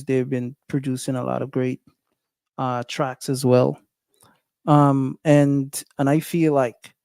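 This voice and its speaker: A man's voice: mid-range voice, darkish tone, clean tone, dry recording. A man with a Guyanese accent hosting a casual livestream, thinking aloud with many fillers and restarts. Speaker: livestream host.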